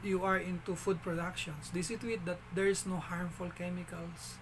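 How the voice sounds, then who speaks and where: A man with a Filipino accent telling a story in a casual vlog, halting, with frequent restarts and fillers. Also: sits high, little pitch movement, a little hiss or hum, poor audio. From Philippines.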